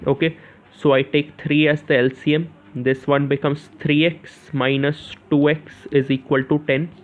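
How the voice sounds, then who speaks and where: A man's voice: on the high side, level tone, moderate pace. A young man with an Indian accent gives an informal step-by-step maths lesson, asking questions and answering them himself, often repeating phrases and checking in with short confirmations. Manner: repeats phrases, punctuates with "yes" and "okay". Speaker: maths tutor. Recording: narrow, tinny phone-like sound.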